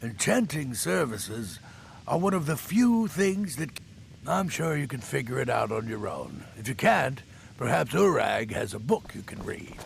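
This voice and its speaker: An actor playing a curt, dismissive enchanter mage in a fantasy game, with a creaky voice. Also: male voice, medium pitch, big pitch swings, measured pace, a little hiss or hum, exaggerated voice. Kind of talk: fantasy video game voice acting.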